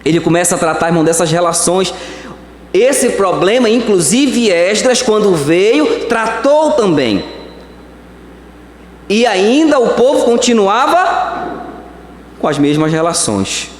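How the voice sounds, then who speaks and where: A Brazilian man preaching a sermon as pastor, swinging between slow emphatic words and quick phrases. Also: sits high, sing-song, slight background noise. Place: church hall. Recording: echoey church hall.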